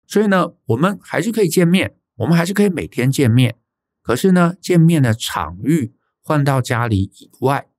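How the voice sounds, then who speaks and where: A man's voice: on the high side, expressive, moderate pace, a little mellow. A man with a Taiwanese Mandarin accent hosting a podcast, talking through advice conversationally and checking in with rhetorical questions. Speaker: podcast host.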